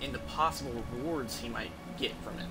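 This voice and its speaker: A young adult man giving casual live gameplay commentary, halting and rambling, with frequent swearing. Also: mid-range voice, loud music behind the voice. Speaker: video game streamer. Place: indoor room at home.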